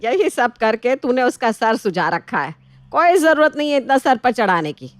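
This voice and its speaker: A woman's voice: on the high side, sing-song, normal speed, no echo. A woman voicing an older, shrewd mother-in-law in a family story, with a scolding, commanding manner. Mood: bossy.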